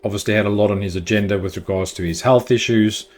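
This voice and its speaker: A man's voice: on the low side, fast talker, a little mellow. A man hosting a football fan channel, giving chatty, opinionated news commentary with the odd filler.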